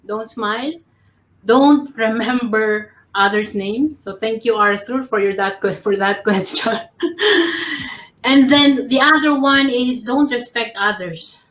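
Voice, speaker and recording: A woman from the Philippines, speaking as a coach on a live panel. Her voice sits a little high and moves slowly and deliberately, with a dry ironic edge. The sound is roomy and echoey, as if caught on a call from a bare room. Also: a bit bright. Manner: slow, measured, ironic with small laughs. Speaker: professional coach, panel guest. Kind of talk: panel talk giving tips.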